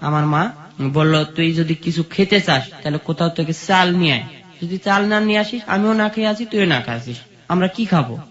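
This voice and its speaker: A man's voice: fairly high pitch, fairly quick. A man with a rural Bangladeshi accent recounts his own life in an interview, telling it plainly step by step with repetition. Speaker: guest telling life story. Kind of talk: personal storytelling in an interview. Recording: dull, muffled lo-fi sound.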